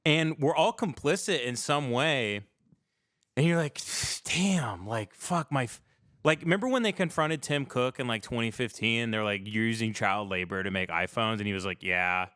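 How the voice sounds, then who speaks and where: A man's voice: medium pitch, expressive, moderate pace, a bit bright, no echo, clean studio sound. An American man on a casual livestream, talking in a rambling, opinionated and profane way with plenty of emphasis. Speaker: podcast host.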